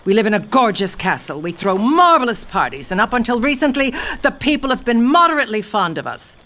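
A woman's voice: a little high, big pitch swings, fairly quick, a bit bright. A woman playing a vain, breezy queen mother in an audio drama, haughty and theatrical, with airy dismissiveness. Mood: breezy, dismissive.

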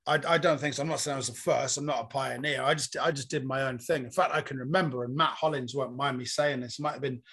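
A man's voice: medium pitch, steady tone, fast talker, few pauses, fairly bright tone, breathy edge. A man, a comedian being interviewed, talking in a reflective, rambling storytelling way. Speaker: comedian guest. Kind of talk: casual interview chat.

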